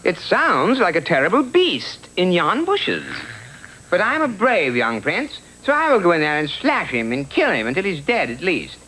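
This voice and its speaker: A man's voice: sits high, huge pitch swings, normal speed, bright, forceful tone, exaggerated voice. A man voicing a silly, pompous young prince in a comic fairy tale cartoon, with theatrical bravado. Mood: bold, pompous. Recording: old, noisy TV cartoon soundtrack.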